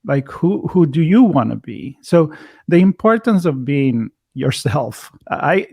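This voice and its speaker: Higher-pitched man with an Italian accent. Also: sing-song, slow, gravelly, dark tone, slightly rough, no room sound, clean studio sound. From Italy. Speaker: podcast host. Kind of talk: casual conversational interview.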